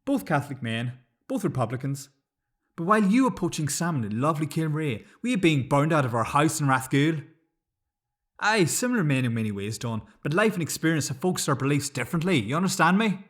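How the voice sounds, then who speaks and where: A man's voice: fairly high pitch, very animated, a little fast, a bit bright, pitched above the performer's own voice. A man playing an intense, resolute republican militant with a Northern Irish accent in dramatic film dialogue. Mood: resolute, defiant.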